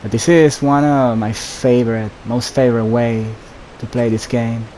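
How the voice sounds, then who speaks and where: A man's voice: medium pitch, moderate pace, poor audio. A man giving casual, rambling gameplay commentary in an informal way. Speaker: gameplay host. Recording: steady background hiss.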